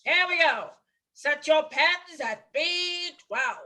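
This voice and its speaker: Peppy old-time radio host played by a woman, high, slow and emphatic, booming children's show style. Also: pushed and bright, heavily acted. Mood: chummy. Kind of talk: 1940s radio announcer acting.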